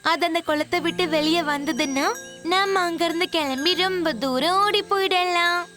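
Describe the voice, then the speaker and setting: A woman's voice: extremely high pitch, moderate pace, over music. A woman voicing a timid, cautious young female animal in a children's cartoon, sounding anxious and doubtful. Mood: pleading. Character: timid, cautious female grass-eating animal, small and worried.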